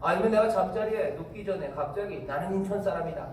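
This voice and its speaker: Korean pastor in an echoey church. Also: male voice, high-pitched, quick pace. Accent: Korean, Incheon. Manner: conversational preaching with fillers and asides. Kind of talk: sermon. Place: church sanctuary. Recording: echoey hall, distant mic, faint noise.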